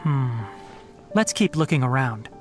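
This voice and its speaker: Thoughtful young scholar played by a man, lively intonation. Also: medium pitch, over music, natural voice. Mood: pensive. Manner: measured, deductive, pensive. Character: thoughtful young male forest scholar, calm, analytical. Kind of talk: dramatic game voice acting.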